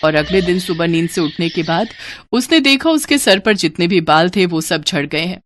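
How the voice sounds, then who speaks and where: A woman's voice: fairly low pitch, expressive, normal speed, a little hiss or hum. A woman narrating a scripted moral story.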